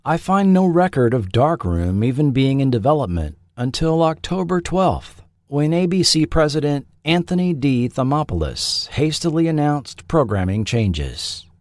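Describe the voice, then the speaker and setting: A man hosting a podcast, reading scripted documentary narration with measured, dramatic phrasing. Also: a little high, big pitch swings, darkish tone, no echo, studio quality. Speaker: podcast host. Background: faint background music.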